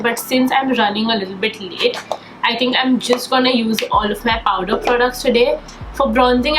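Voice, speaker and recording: A young woman with a slightly nasal voice from a cold, chatting enthusiastically through a makeup tutorial. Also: fairly high pitch. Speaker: beauty vlogger. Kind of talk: casual get-ready-with-me makeup tutorial. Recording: echoey room with faint background noise.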